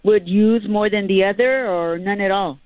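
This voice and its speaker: A woman with a raspy voice calling in to a radio show. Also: medium pitch, moderate pace, no room sound. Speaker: caller. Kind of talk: phone call to a radio show. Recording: tinny phone line.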